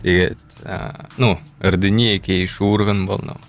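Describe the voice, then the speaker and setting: Deep, flat, gravelly man. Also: measured pace, faint music behind. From Buryatia, Russia.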